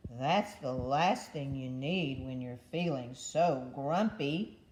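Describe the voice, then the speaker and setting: A woman's voice: a little mellow, roomy sound, slight background noise. A woman voicing a blunt but helpful male gorilla neighbor in a storybook, with a matter-of-fact delivery. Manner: slow, low, matter-of-fact.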